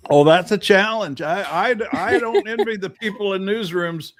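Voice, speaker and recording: Halting higher-voiced male podcast host. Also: a bit bright. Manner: halting, many restarts and fillers. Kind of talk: casual panel chat.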